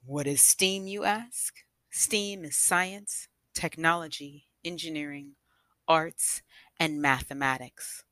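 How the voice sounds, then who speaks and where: A woman telling her own story as a guest storyteller, deliberate and emphatic, with rhetorical pauses. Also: sits low, bright, forceful tone, dry recording. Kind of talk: personal storytelling, prepared talk.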